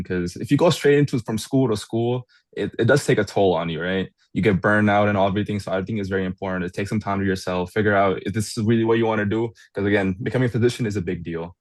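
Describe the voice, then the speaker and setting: Fast-talking low-voiced male co-host. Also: no echo. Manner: quick, agreeable interjections. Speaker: podcast co-host. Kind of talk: casual interview chat.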